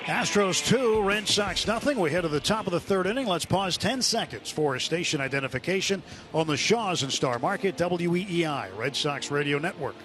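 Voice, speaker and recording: Higher-pitched man, polished broadcast announcing. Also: lively intonation, moderate pace, fairly bright tone, soft background music. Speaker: radio baseball broadcaster. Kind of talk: scripted sponsor read and broadcast announcing.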